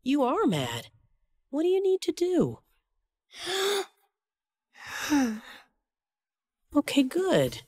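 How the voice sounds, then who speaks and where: A woman playing a caring, firm grown-up in a children's cartoon, calmly guiding small children with simple phrases. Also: high-pitched, very slow, pauses often, dry recording, clean studio sound, full character voice. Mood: calm, soothing.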